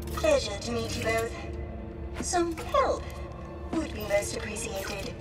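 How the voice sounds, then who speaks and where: Game role: antique servant droid, slow, swooping delivery, courteous and fragile, loud music behind. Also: female voice, fairly high pitch, animated. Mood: disoriented, courteous. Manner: formal, polite, halting speech. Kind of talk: video game cutscene acting. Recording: distant voice under loud music.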